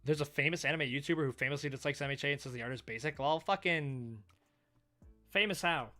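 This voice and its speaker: A man live-streaming a casual, excitable reaction read-through, swearing freely as he chats with viewers. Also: a little high, quick pace, faint music behind. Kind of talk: casual livestream reaction chat while reading manga.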